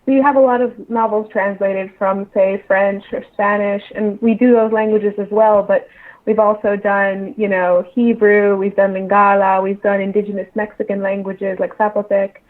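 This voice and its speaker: A woman's voice: medium pitch, little pitch movement, normal speed. A woman giving casual interview answers as a guest on a remote call. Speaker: interview guest, associate editor at a publisher. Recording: muffled, band-limited remote call line.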